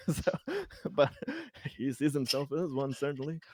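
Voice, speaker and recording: A man's voice: fairly high pitch, huge pitch swings, slow, a little mellow. A man chatting casually as a player in a tabletop roleplaying game, stammering, self-deprecating and readily amused.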